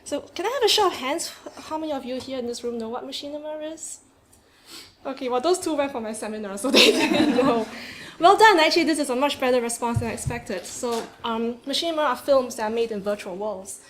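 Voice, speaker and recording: A woman with a Singaporean accent giving an academic conference talk in a seminar room, lively, with frequent "um"s and laughs. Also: high-pitched, brisk. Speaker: conference speaker. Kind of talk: academic presentation. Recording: echoey room, faint noise.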